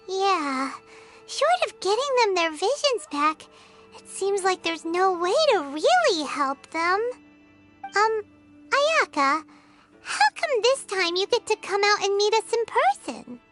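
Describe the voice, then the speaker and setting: A woman voicing a tiny floating girlish companion sprite who is chatty, excitable and nosy, in a video game cutscene. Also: very high voice, slow pace, soft background music, heavily acted. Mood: chirpy. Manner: chatty, excitable, talks of herself in third person.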